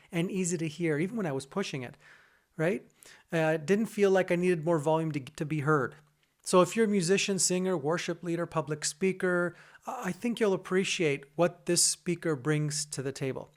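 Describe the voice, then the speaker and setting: A man with a full, bassy voice walks viewers through a piece of sound gear. He talks easily and conversationally, calling his audience "guys" as he explains the features. Part of the review is read from a script, and he records it in his own home studio. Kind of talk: casual product review, partly scripted.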